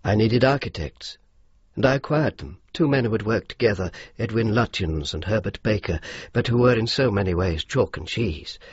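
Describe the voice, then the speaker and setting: An actor playing a courteous, determined senior British officer with an English accent, speaking formally and deliberately in a radio drama. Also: male voice, lowish voice, breathy edge. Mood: measured, resolute. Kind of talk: radio drama narration and dialogue. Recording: slightly muffled radio recording, background noise.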